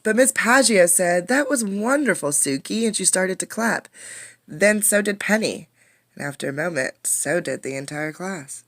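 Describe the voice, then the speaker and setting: A woman reading a picture book aloud as a teacher, expressively, pausing to put questions to young listeners. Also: lowish voice, no room sound. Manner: expressive storytelling, addressing young listeners directly. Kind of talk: interactive children's read-aloud with questions.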